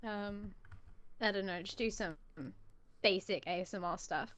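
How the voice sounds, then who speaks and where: A woman born in America and living in England, co-hosting a casual chat stream, chatty and quick to laugh. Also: mid-range voice, a bit bright. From born in the United States, lives in England. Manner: chatty, giggly, lots of fillers. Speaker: co-host.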